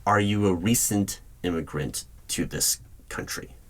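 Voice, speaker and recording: A man playing a polite, guarded hiring manager in an ASMR interview roleplay, speaking aloud in a measured, formal, deliberate way with pauses. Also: low voice. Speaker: roleplay performer as job interviewer. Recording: steady noise with keyboard typing clicks. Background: computer keyboard typing and clicks.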